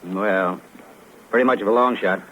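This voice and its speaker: A male actor plays a steady American police lieutenant in a 1950s radio drama. He is easygoing and laconic, pausing as he thinks. The sound is a dull old broadcast with light noise. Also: on the low side, natural voice. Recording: muffled, noisy old radio recording.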